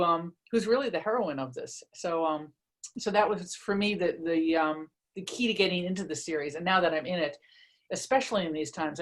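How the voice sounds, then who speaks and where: A woman author talking casually about their books and reading aloud, in a chatty, self-deprecating, conversational way. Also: fairly low pitch. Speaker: author presenting and reading from their own book. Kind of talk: casual book talk and read-aloud.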